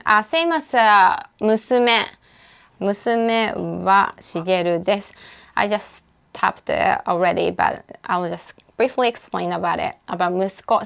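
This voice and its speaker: A Japanese-accented woman talks through a language app lesson in a relaxed, unscripted way. Her voice is smooth and clean at a middle pitch. She pauses with frequent fillers and corrects herself as she goes. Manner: thinks aloud with frequent uh and self-corrections. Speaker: language tutor. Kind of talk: casual screen-recorded app walkthrough.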